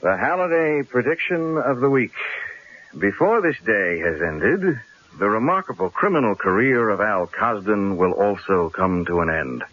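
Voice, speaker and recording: An actor playing a wry, worried newspaper columnist in a radio drama, with a dry, measured manner. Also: male voice, lowish voice, expressive. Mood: grimly composed. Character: wry, worried male newspaper columnist facing a death threat. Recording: muffled old radio drama recording.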